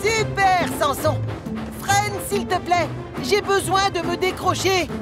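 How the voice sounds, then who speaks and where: A young male engine from a children's cartoon. The voice is piercingly high and teasing, with drawn-out delivery. It sounds eager to help, over loud music. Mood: cheerful. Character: cheeky, helpful young male talking tank engine. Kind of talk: children's cartoon dub acting.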